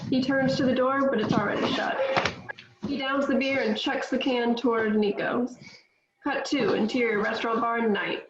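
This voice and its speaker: A woman reading stage directions in a steady, matter-of-fact way at a table read. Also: on the high side, moderate pace. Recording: echoey, dull, faint noise.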